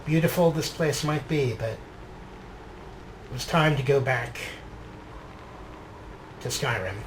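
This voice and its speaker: A man's voice: medium pitch, slow pace, a little hiss or hum, poor audio. A man narrating a video game series as its host, partly reading in character. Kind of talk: scripted in-character journal narration and show commentary.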